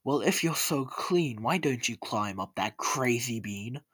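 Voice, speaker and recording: A man voicing a cheeky, naive and excitable young boy with an eager, exclamatory manner in a storybook rhyme. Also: measured pace, slightly rough, no room sound, clean studio sound. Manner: eager, exclamatory, higher than narration.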